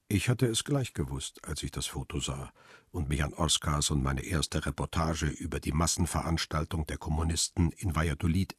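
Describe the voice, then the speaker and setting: A man playing a bitter, betrayed paparazzo who narrates his own story in a measured, reflective way for a radio crime drama. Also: very deep voice, slightly rough, no room sound, studio quality, full character voice. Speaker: first-person narrator.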